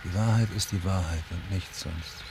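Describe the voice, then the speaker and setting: Deep-voiced brooding detective. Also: male voice, normal speed, noisy background. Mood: weary. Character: brooding, stern male police detective, weary and terse. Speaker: lead actor and narrator in a crime radio play. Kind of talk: German radio drama acting, inner monologue.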